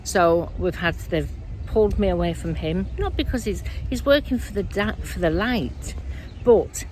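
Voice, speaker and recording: Croaky low-voiced woman medium. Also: moderate pace, a little mellow, a little hiss or hum, low-quality recording. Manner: earnest, rambling, self-correcting. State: croaky, dry voice. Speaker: spiritual medium. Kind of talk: casual spiritual monologue.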